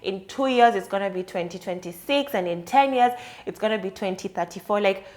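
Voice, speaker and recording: A woman's voice: medium pitch, fairly quick, darkish tone. A woman hosting a solo podcast, talking through an idea in a thoughtful, explanatory and conversational way. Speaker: podcast host. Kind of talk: solo reflective podcast monologue.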